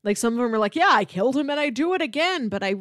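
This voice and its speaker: A woman's voice: on the high side, animated, very fast, fairly bright tone, no room sound, clean studio sound. A woman co-hosting a casual film discussion, retelling things in imagined quotes as she goes. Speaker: podcast co-host.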